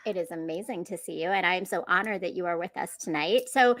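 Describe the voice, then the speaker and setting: A woman hosting a live podcast interview, effusive and quick to laugh. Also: on the low side. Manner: warm, effusive, laughs often. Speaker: podcast host.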